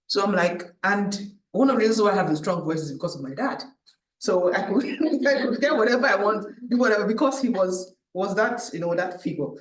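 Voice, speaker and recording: Woman, panel speaker, lively storyteller who voices her own thoughts. Her voice is miked from a distance and fills a reverberant room. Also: mid-range voice, brisk, slight background noise. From Nigeria. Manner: animated storytelling, acts out her own thoughts, tags lines with "right?". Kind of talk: personal talk at a public event. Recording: echoey room, distant mic.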